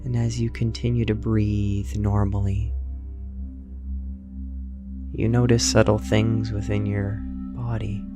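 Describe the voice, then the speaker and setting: A man narrating a guided sleep meditation in a soft, soothing, unhurried voice with long pauses. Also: on the low side, level tone. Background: meditation music with singing bowls.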